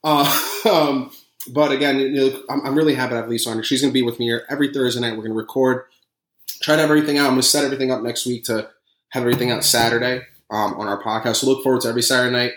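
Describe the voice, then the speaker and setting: A man's voice: medium pitch, fairly even intonation, rapid-fire, fairly bright tone, reverberant. A man with a New York accent hosting a casual podcast, brash and profane in rambling banter. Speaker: podcast host.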